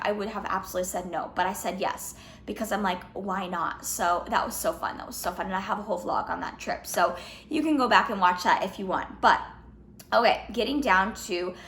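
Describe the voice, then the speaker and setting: A young woman in her twenties, a fitness vlogger answering questions in a casual Q&A, slightly hoarse from losing her voice, chatty with lots of "like" and "um". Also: medium pitch, a bit bright, echoey room, a little hiss or hum. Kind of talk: casual Q&A vlog.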